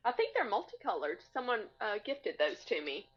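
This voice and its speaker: A woman's voice: medium pitch, big pitch swings, normal speed, slightly rough. A woman chatting casually and agreeably as a guest on a muffled, noisy video call from home. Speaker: podcast guest. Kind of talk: casual chat about sewing favorites.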